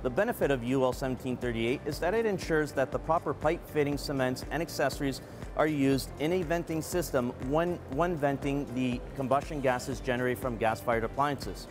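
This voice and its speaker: A man in his forties, a product manager, giving a measured, earnest scripted product presentation at a trade show booth on a lapel mic. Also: mid-range voice. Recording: clean voice over background music.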